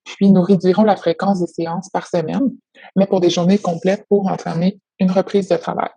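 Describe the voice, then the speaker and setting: A woman speaking as a therapist in a measured, formal, explanatory way on a professional call. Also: on the low side, fairly even intonation, vocal fry, warm tone, husky, no room sound, lo-fi sound. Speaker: occupational therapist. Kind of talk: professional phone consultation, prepared report.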